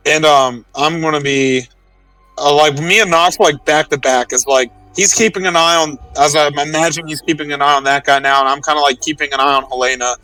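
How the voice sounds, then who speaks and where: Bright-voiced male tabletop player. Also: on the high side, steady tone, fairly quick, dry recording. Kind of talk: casual in-character game chat. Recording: distant dry mic, music behind.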